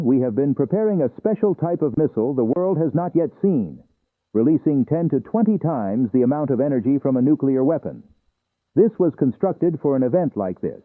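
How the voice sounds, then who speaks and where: A man's voice: medium pitch, no room sound, lightly acted. Grave government official played by a man, tinny radio. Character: grave government official briefing on asteroid defence. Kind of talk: formal scripted briefing. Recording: tinny, band-limited radio message.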